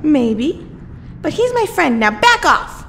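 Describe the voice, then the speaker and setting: A woman voicing an excitable teenage girl hero who talks with wide-eyed eagerness. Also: high-pitched, takes it slowly, in a large hall, a little hiss or hum, heavily acted. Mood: excited.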